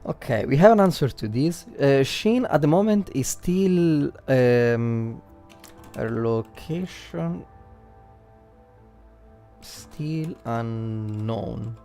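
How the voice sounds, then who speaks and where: A male game streamer with a mellow, gravelly voice talks through his analysis live. He thinks aloud in a halting way, circling back over words, with faint music playing behind him. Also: mid-range voice, expressive. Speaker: livestreamer.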